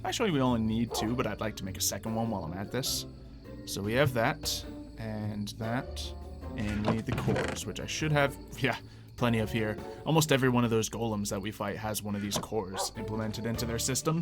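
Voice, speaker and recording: A man's voice: lowish voice, moderate pace. A man doing gameplay commentary, thinking aloud and explaining each step with playful, exaggerated exclamations. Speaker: gaming video host. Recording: music playing under the voice. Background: background music.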